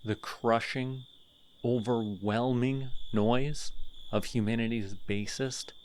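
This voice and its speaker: A sardonic male telepath from an audio roleplay, taking his time over every barb, as a man acts him out. His voice sits in a middle register and his pace stays slow and deliberate, with crickets faintly chirping behind him. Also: medium pitch. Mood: gentle. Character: sardonic male telepathic villain, cocky then tender.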